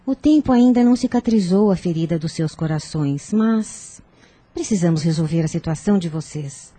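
A woman playing a firm, persuasive older aunt in a radio soap opera, genteel, formal and measured. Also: fairly low pitch, animated, dark tone, husky, no echo. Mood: grave, persuasive.

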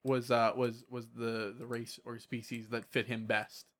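A man's voice: medium pitch, level tone, unhurried, a bit bright. A man chatting casually as a podcast host about tabletop gaming, often repeating words and trailing off.

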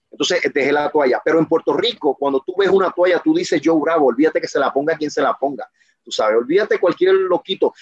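A man's voice: on the high side, no echo. A man in his forties, speaking as a guest in an interview. He talks passionately and emphatically, and he rambles with frequent restarts. The sound is dry and a little dull. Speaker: guest, professional wrestler. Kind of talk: casual interview talk.

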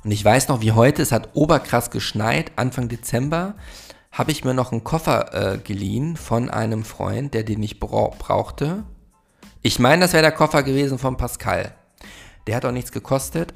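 A man's voice: medium pitch, vocal fry. A man in his forties from Germany hosting a solo podcast, talking in a reflective, rambling way with many fillers. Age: 40s. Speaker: podcast host.

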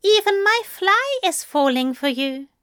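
Woman voicing a high, lilting, playful male angel. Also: animated, a little slow, a bit bright, dry recording, clean studio sound, heavily acted. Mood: teasing, playful. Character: prim, playful male angel, teasing and affectionate.

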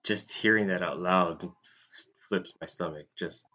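A man co-hosting a casual podcast, reacting in a dry, halting way, recorded in dull lo-fi sound. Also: deep voice, bright, forceful tone. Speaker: podcast co-host.

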